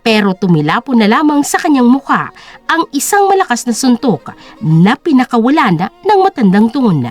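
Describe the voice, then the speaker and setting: A woman's voice: on the high side, very animated, moderate pace, faint music behind. A woman narrating a scripted story as a dramatic audio drama.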